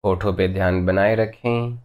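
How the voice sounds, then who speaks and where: A man leading a guided meditation as a teacher, giving gentle, unhurried instructions in short phrases with long pauses between them. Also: deep voice, steady tone, smooth, lo-fi sound.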